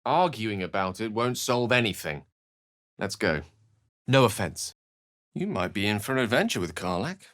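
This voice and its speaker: A learned wizard from a fantasy video game. He sits on the low side in pitch and talks with literary polish. His temper is wry, romantic and dramatic, and every phrase is carefully articulated for the stage. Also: big pitch swings, moderate pace, fairly bright tone. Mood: wry, musing. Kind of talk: video game character voice acting.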